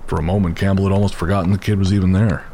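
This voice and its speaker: A man narrating a western horror story in a measured, steady way. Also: sits low, slight background noise.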